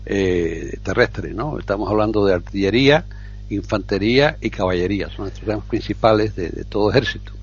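A man's voice: on the low side, a little fast, breathy edge, dull audio. An older man with a Cuban accent, chatting on a radio talk show about his army days, often repeating and restarting words. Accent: Cuban Spanish. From Cuba. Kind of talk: casual radio chat.

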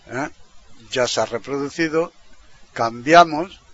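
A man from Spain talks listeners through software step by step in an informal, explanatory way. He often rounds off a phrase with a short questioning tag. The sound is dull and lo-fi. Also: mid-range voice, takes it slowly. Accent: Spanish from Spain. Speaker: tutorial presenter. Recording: dull, lo-fi computer microphone recording.

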